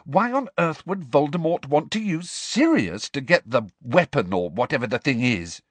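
A man's voice: fairly high pitch, big pitch swings, normal speed, no echo, pitched above the performer's own voice. A man voicing an anxious, earnest teenage girl witch who pleads and reasons in an audiobook. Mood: anxious, doubtful. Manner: worried, hesitant yet persistent. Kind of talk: audiobook character acting.

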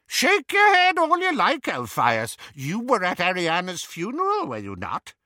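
A man doing a shrill, cackling old witch. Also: wildly swooping pitch, a little slow, sharp tone, no echo, studio quality, exaggerated voice. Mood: gossiping. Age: older. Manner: cackling, needling, high-pitched. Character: shrill gossiping old witch, spiteful and relishing.

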